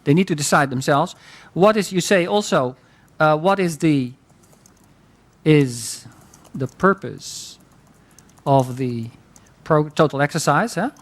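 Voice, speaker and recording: A man's voice: on the high side, takes it slowly, pauses often. A man leading a seminar, teaching conversationally and often affirming participants. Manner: conversational, frequent affirming interjections. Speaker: seminar lecturer.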